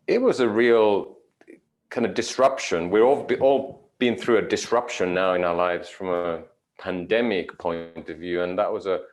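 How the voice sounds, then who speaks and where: Man, very low voice, reflective guest, measured with pauses. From Finland. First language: Finnish. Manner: measured, thoughtful, with frequent pauses and fillers. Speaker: guest, yoga teacher. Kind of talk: reflective interview answers.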